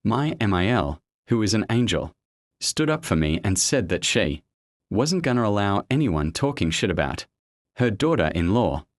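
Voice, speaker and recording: A man reading out a first-person online story as a steady narrator, spelling out abbreviations letter by letter. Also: lowish voice, normal speed, dry recording.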